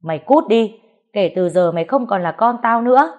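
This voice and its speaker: A woman voicing a grieving father who shouts in fury at his family. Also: lowish voice, fairly quick, close to an everyday voice. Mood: furious. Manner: shouting, furious. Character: enraged grieving father, middle-aged man.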